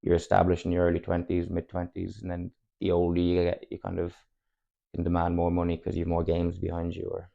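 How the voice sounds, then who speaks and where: Fast-talking gravelly Irish man in his twenties. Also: low-pitched, monotone, mellow, muffled recording. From Ireland, Cork. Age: 20s. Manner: rambling, candid, chuckles often. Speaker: footballer guest. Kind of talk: casual podcast chat.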